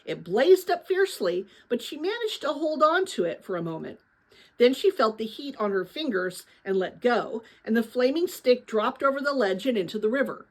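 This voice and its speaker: Female read-aloud host, fairly high voice, expressive storybook narration with careful phrasing. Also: moderate pace, rough recording.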